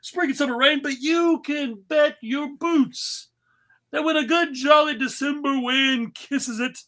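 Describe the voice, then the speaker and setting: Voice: sits high, a little slow, bright, forceful tone, voice pushed up. A performer voicing a jolly, kindly, commanding old man in a hearty, emphatic cartoon table read. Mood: reassuring.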